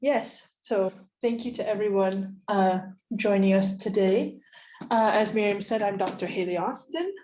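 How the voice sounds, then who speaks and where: A woman's voice: medium pitch, a little slow, a little mellow, echoey room, low-quality recording. A woman with an American accent giving a friendly, chatty research seminar with frequent fillers and light laughs. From United States. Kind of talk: academic seminar talk. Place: university seminar room.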